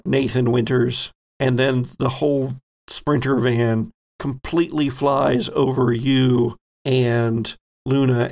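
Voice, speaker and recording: Slow, gravelly male game master. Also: mid-range voice. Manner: dramatic storytelling in short, suspenseful phrases. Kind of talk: improvised narration of a tabletop horror game.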